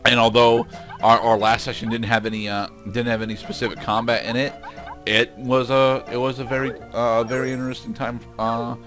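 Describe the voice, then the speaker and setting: A man giving casual game commentary in a halting, hesitant way. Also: mid-range voice, little pitch movement, fairly bright tone, soft background music. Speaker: let's play co-commentator.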